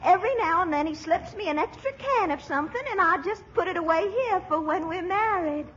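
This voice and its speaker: A fluttery, high-pitched Southern belle, coy and genteel with a Southern American drawl. She gushes in old radio comedy style on a dull recording with faint hiss. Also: expressive, a little fast, fairly bright tone. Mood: wounded, huffy. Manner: gushing, genteel, dramatic. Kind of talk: 1940s radio comedy acting.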